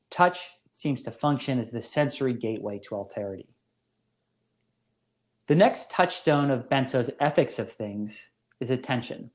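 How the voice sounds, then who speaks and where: A man speaking as a professor in a scripted lecture, with deliberate, literary, measured phrasing. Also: a little high, a little mellow. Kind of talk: scripted philosophy lecture narration.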